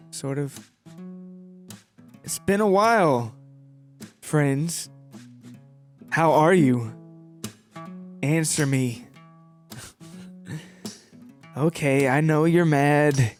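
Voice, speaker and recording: Playful male podcast host, lightish pitch. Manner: playful, joking, self-deprecating. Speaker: podcast host and story writer. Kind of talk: casual chat to listeners.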